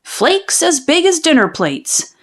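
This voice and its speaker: A woman narrating a children's storybook in an expressive, folksy storytelling manner. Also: sits high, slow. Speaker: children's book read-aloud narrator. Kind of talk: animated storybook narration of a folksy tall tale.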